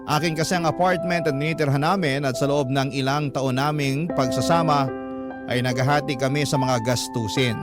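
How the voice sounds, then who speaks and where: A man's voice: mid-range voice, steady tone, moderate pace. A man with a Filipino accent reading a listener's letter as an emotive, scripted radio narration. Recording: loud music bed behind the voice. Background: loud instrumental music.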